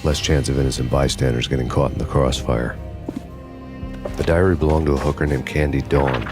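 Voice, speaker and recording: A hard-boiled cop on the run, with an extremely low voice. He narrates a noir game, weary, grim and deadpan, over prominent background music. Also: brisk. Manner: deadpan, terse.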